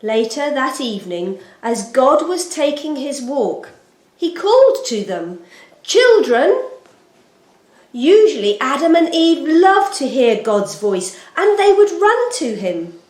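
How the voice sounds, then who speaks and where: A woman storyteller giving an expressive, solemn and deliberate narration of a scripted children's Bible story. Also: high voice. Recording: roomy, echoing sound.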